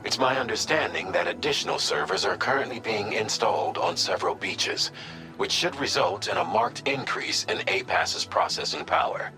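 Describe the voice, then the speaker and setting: An actor playing a composed, businesslike mission adviser in a video game, giving formal, measured and precise briefings. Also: male voice, lowish voice, expressive, reverberant, over music, close to an everyday voice, low-quality recording. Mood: informative, composed.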